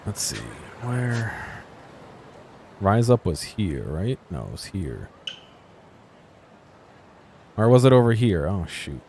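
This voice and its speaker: Low-voiced man musing over a game. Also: big pitch swings, takes it slowly, pauses often, dark tone, slight background noise. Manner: musing, thinking aloud. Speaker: gameplay video host. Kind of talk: casual unscripted game exploration commentary.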